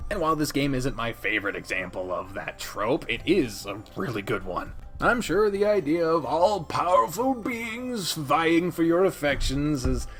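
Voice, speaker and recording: A man's voice: on the high side, a bit bright. A man hosting a casual countdown video, talking informally and emphatically with plenty of swearing. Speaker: video host, visual novel reviewer. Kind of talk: casual scripted top-ten countdown commentary.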